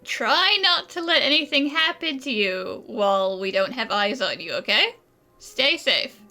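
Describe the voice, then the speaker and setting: A woman's voice: on the high side, big pitch swings, unhurried, bright, forceful tone, exaggerated voice. A woman voicing a playful, caring young female companion in a casual style.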